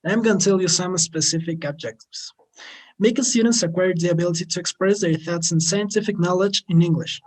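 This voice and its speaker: A man's voice: high-pitched, a little fast, a little mellow, poor audio. A male moderator reading a prepared project introduction in a formal, scripted manner over a video call. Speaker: webinar moderator.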